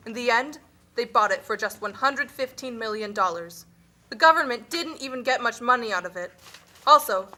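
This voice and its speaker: An earnest Nicaraguan explainer played by a woman lays out hardship in scripted puppet-show lines. Her voice is high and bright.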